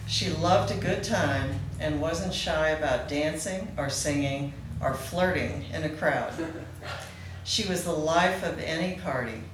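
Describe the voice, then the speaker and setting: A woman reading a prepared eulogy aloud at a memorial celebration. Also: low voice. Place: indoor event room with a live gathering. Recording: roomy echoing hall, faint background noise.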